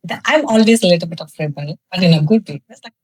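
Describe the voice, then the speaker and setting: Low-voiced woman from South India, candid and reflective. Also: darkish tone, husky, no echo, clean studio sound. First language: Tamil. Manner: candid, laughs often, pauses with many fillers. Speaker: guest, cosmetics founder. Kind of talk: personal storytelling in a podcast interview.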